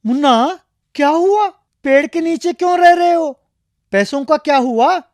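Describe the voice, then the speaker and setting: High, earnest young boy played by a narrator. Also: a little slow, dry recording, clean studio sound. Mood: concerned. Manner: earnest, expressive. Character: calm, kind young boy, fair-minded friend.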